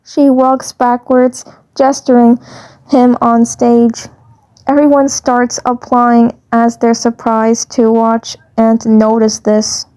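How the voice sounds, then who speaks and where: A woman reading fan fiction aloud as narrator, halting and repeating phrases as she goes. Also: fairly high pitch, little pitch movement, dark tone, smooth. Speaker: fandub narrator.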